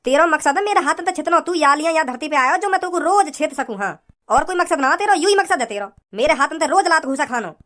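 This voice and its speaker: A man playing a scolding, preachy village father in a comedy cartoon, with a pitched-up voice. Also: animated, fast talker, sharp tone, heavily acted. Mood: angry, threatening. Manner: preachy, repetitive, hectoring.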